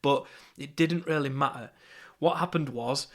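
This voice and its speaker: A man's voice: a little high, moderate pace. A young man with a Yorkshire accent, teaching an online marketing course in a conversational, upbeat way with fillers and restarts. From England.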